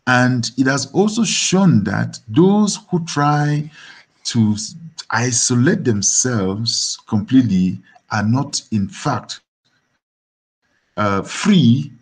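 Husky Cameroonian man giving a lecture. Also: mid-range voice. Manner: halting, deliberate, repeats words. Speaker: academic philosopher, webinar speaker. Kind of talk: academic lecture over slides. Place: dim room indoors, on a video call. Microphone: computer microphone on a video call.

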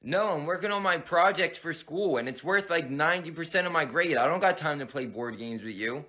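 A man's voice: a little high, expressive, fairly quick, bright, forceful tone, no room sound, pitched below the performer's own voice. A man voicing a lazy, sarcastic teenage big brother who mocks his younger sibling. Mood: mocking lazy. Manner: mocking, lazy drawl.

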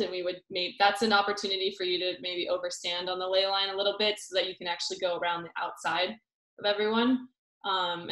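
A young adult woman, an Olympic sailor, reading out questions and answering them in a friendly, hesitant way, with lots of pauses over a video call. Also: fairly low pitch, a bit bright. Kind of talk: casual online Q&A. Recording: echoey room on a video call.

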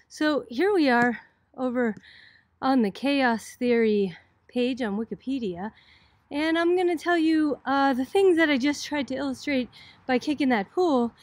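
A woman's voice: high-pitched, a little mellow, no echo. A middle-aged woman from Boston teaching in a casual, chatty, explanatory way, like an informal college video lecture. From Boston, United States.

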